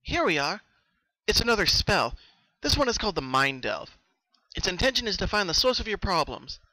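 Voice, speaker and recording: A man's voice: high voice, sing-song, normal speed, pushed and bright, no echo, pitched above the performer's own voice, heavily acted, low-quality recording. A man voicing a studious, caring young female unicorn who speaks in an earnest, analytical and reassuring manner in a story reading. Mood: confident.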